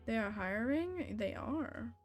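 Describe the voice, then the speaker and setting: A woman with a fairly high voice chats casually as she streams a game. She talks half to herself in short, blunt questions and reactions, with faint music behind her. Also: lively intonation, takes it slowly. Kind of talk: casual livestream chat over gameplay.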